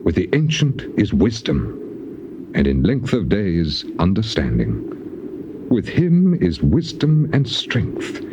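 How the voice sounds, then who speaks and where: A man's voice: low-pitched, huge pitch swings, slow, exaggerated voice. An actor playing an anguished, bitterly sarcastic righteous man in a dramatized scripture reading, declaiming in solemn archaic phrases. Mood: grave declaiming. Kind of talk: dramatized scripture reading, classical stage acting. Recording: muffled old cassette tape with clear noise.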